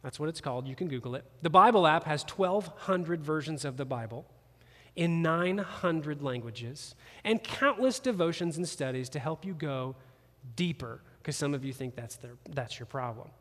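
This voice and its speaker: A man preaching a sermon as campus pastor, animated and conversational, with playful jokes aimed at the congregation. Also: a little high, normal speed. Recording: long reverb of a large hall.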